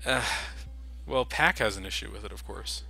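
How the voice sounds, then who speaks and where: A man's voice: fairly low pitch, normal speed, gravelly, sharp tone. A young man casually commenting over game cutscenes, joking and critical of the game as the host. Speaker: let's play host.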